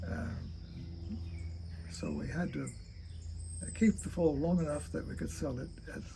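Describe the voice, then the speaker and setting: Old guy in his 80s reading his memoir, super gravelly, kinda high pitched for a man, halting. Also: background noise. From rural Kentucky, United States. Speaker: storyteller reading own memoir. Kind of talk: reading a childhood memoir aloud.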